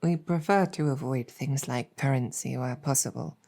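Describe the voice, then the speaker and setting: A woman playing a courteous, wry female demon general in an improvised ASMR roleplay, speaking softly with measured, hesitant phrasing. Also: extremely low pitch, vocal fry, exaggerated voice. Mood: relaxed, friendly.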